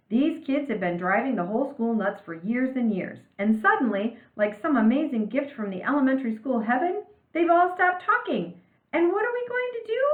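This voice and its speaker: A woman's voice: fairly high pitch, reverberant, phone-quality sound, voice pushed up. A woman voicing an easygoing male teacher in a storybook, arguing persuasively and asking emphatic questions. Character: upbeat easygoing male teacher pleading for patience.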